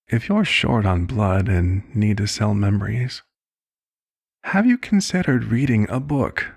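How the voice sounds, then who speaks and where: A man playing a compassionate, fatherly vampire doctor in an ASMR roleplay, speaking in a measured, formal, hushed way with a low, husky, gravelly voice. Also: heavily acted. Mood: warm, encouraging. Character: compassionate old vampire doctor, fatherly, weary, gently persuasive.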